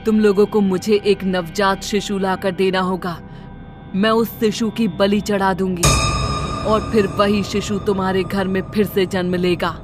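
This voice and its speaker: A woman's voice: mid-range voice, flat delivery, measured pace, music in the background, close to an everyday voice. Woman acting a menacing, commanding witch. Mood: sinister, coaxing. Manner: commanding, ominous. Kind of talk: Hindi cartoon horror story acting.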